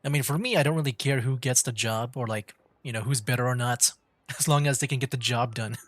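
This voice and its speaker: A man's voice: medium pitch, fairly quick, a bit bright, a little hiss or hum. A man streaming a game with casual, easygoing, rambling commentary. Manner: easygoing, chuckling, rambling asides.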